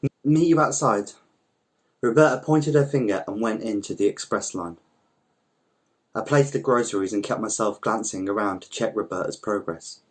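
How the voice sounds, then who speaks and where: Low-voiced man reading a story aloud. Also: moderate pace. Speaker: volunteer story reader. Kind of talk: scripted literary narration, reading aloud.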